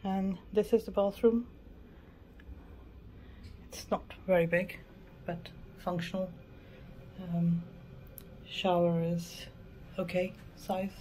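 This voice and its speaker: A woman's voice: on the low side, steady tone, takes it slowly, pauses often, dark tone, low-quality recording. A woman travel vlogger giving a casual, matter-of-fact tour narration of a small cabin. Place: mostly a small cruise ship cabin. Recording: clear background ambient noise.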